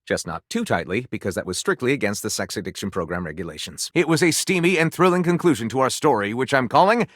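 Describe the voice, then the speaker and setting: A man's voice: medium pitch, expressive, fast talker, hardly any pauses, no echo, clean studio sound, natural voice. A man voicing a grandiose, lewd, self-satisfied storyteller character in a video game, with wry, sarcastic asides. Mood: dramatic narrating.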